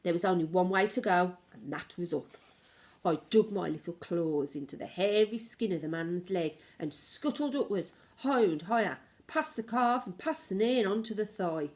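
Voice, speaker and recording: A woman reading a children's story aloud like a teacher, in expressive narration with dramatic, varied emphasis. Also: on the low side, normal speed.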